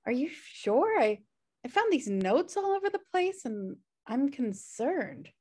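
A woman's voice: sits high, very animated, a little slow, a little mellow, slightly rough, full character voice. A woman playing a blunt, sarcastic, slobbish sister in a comedy table read. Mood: unbothered. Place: indoor room on a video call. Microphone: video call.

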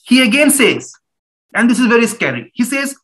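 Bright-voiced Indian man, earnest. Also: very high-pitched, normal speed. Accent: Indian English. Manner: earnest, pointed rhetorical questions; animated hand gestures. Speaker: human rights lawyer. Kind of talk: public talk. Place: small room at home, indoors.

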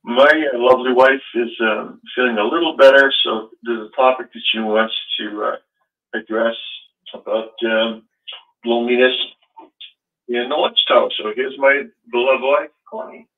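A man hosting a call-in show, rambling through question after question with lots of fillers. His voice comes over a thin, phone-like line at an ordinary pitch. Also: fairly even intonation, moderate pace.

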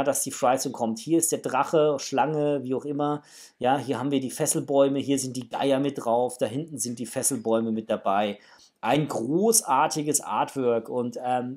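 A man in his forties with a mid-pitched, somewhat rough-edged voice talks like an enthusiastic collector on a vlog. He addresses his viewers informally, as friends, while showing off what he unpacks. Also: darkish tone. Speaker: toy unboxing vlogger. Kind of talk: casual chat, enthusiastic product unboxing. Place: indoors, small room with framed posters.

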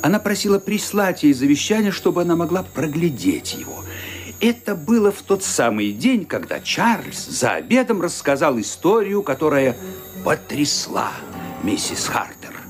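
A man narrating a radio drama in a measured, storytelling manner. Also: on the high side, sing-song, soft background music.

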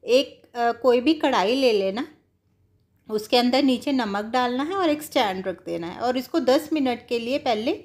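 A woman's voice: on the high side, lively intonation, measured pace, a little mellow. A woman giving casual, step-by-step cooking instructions, explaining things directly to viewers. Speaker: home cook giving a recipe tutorial.

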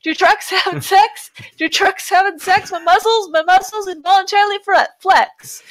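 A woman recites silly lyrics with theatrical commitment for a podcast. Her voice is extremely high and has a sharp, forceful brightness. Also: fairly even intonation, moderate pace, no echo. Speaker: podcast panelist reading lyrics. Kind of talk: comic dramatic recitation of song lyrics. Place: live group podcast stream.

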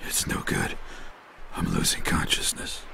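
A man playing an aging, roguish and proud ninja mentor in an English anime dub, with a gravelly voice and grandiose delivery. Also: measured pace, a little hiss or hum. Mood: weak, somber. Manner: theatrical, grandiose. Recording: loud music under the voice.

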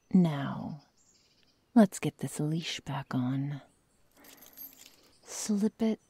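A woman acts out a young female werewolf with a cruel, teasing calm. She speaks extremely slowly in a soft, dark, breathy voice that often sinks to a whisper. It is an intimate ASMR roleplay, very close and dry on the mic. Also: deep voice, no echo, heavily acted. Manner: slow, soft, mock-tender menace. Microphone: close microphone, dry sound.

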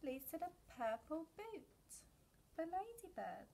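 A woman's voice: very high-pitched, big pitch swings, slow pace, dark tone, a little hiss or hum. A young woman reading a picture book aloud to small children in a sing-song manner, as a storyteller. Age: young adult. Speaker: children's storyteller at a family centre. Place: indoors, decorated story corner.

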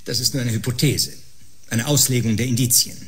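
A man acts out a combative, scornful doctor in a science-fiction radio drama. His medium-pitched voice is emphatic and theatrical. He speaks with sarcasm and open scepticism. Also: fairly quick, close to an everyday voice. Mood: combative, indignant. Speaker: actor in a sci-fi audio drama. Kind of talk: German sci-fi radio play acting.

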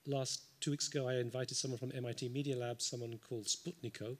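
A man's voice: medium pitch, fairly even intonation, fast talker. A man from the United Kingdom, giving an unscripted panel response in a large lecture hall into a table microphone, thoughtful with frequent ums and dry asides. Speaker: symposium panelist.

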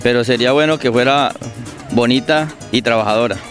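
A man's voice: medium pitch, fairly quick, over music. A middle-aged man with a Colombian accent giving casual interview answers outdoors as a musician guest. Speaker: musician guest, singer-songwriter. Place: outdoors in a park. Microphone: handheld interview microphone held by host.

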